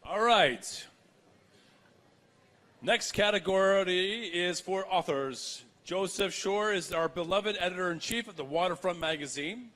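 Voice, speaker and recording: A man's voice: high-pitched, unhurried, fairly bright tone. A man co-hosting a live awards ceremony, reading announcements and addressing the guests. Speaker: awards gala co-host.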